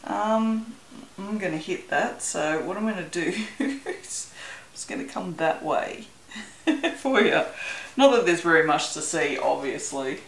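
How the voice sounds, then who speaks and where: Aussie woman rambling slow while she works, laughs a lot, echoey room w faint hiss. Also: lowish voice, lively intonation. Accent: Australian. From Australia. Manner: chatty, rambling, laughs often, swears casually. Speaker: soap-making channel host. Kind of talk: casual chat while working.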